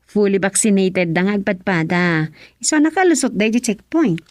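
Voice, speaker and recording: A woman's voice: medium pitch, big pitch swings, normal speed, dry recording, natural voice. A woman playing an inquisitive, no-nonsense village woman in an Ilocano radio comedy drama.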